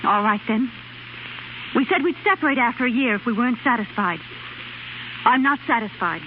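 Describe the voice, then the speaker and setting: A woman's voice: a little high, animated, moderate pace, lightly acted. A woman acting a determined, eager young wife in a 1930s radio drama. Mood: firm. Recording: tinny, hissy 1930s radio recording.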